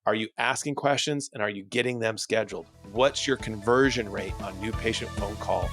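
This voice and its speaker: A man's voice: fairly low pitch, normal speed, a bit bright, soft background music. A man giving a motivational coaching talk as host, instructing with emphasis and rhetorical questions. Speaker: dental practice coach and host.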